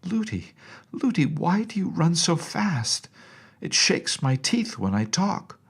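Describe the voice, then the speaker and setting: A man voicing an excited, chattering little princess in a storybook, eager and full of exclamations and questions. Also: a little high, takes it slowly, slightly rough, voice pushed up, full character voice. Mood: puzzled, chattering. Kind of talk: storybook character voice.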